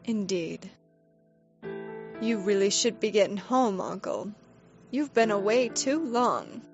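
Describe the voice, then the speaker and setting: A woman playing a shrewd, weary noblewoman of a scheming fairy court, speaking in a formal, measured, pointed way in an audio drama. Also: mid-range voice, very animated. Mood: cool, weary. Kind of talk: scripted audio drama acting. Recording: slightly dull lo-fi recording. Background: faint music.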